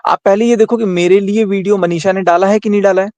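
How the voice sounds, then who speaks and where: A man's voice: high voice, steady tone, fast, darkish tone, no echo. An Indian man arguing heatedly and insistently in a group discussion, often repeating his phrases. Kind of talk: heated argument in a group call.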